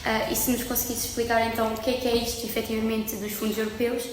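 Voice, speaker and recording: A young woman introduces her guest and asks questions, her voice fairly high and kept flat. She hesitates often, hunting for words, and the room gives her voice a strong, long echo. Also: quick pace, noisy background. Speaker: podcast host, student association member. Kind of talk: podcast interview hosting. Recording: echoey room with long reverb.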